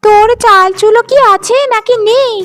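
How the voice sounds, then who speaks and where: A woman's voice: very high voice, steady tone, normal speed, soft background music. A woman voicing a scheming, disdainful young sister-in-law in a cartoon, with a nagging manner. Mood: shrill, outraged. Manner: nagging, sharp questioning. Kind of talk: cartoon drama acting.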